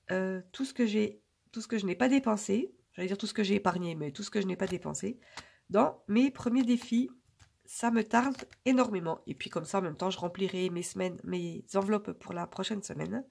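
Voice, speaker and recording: A woman talks to her viewers in a loose, rambling way, with lots of pauses and fillers. Her voice is hoarse from a cold and heavily gravelly with vocal fry throughout. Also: mid-range voice, darkish tone. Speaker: budgeting vlogger. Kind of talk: casual chat to viewers.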